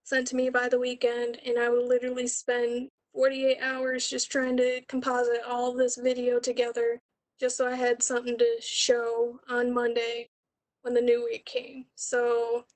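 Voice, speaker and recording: Higher-pitched young woman, very wide-swinging intonation. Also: completely flat delivery, moderate pace, poor audio. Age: young adult. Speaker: student director presenting a capstone project. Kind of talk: informal question-and-answer about own work.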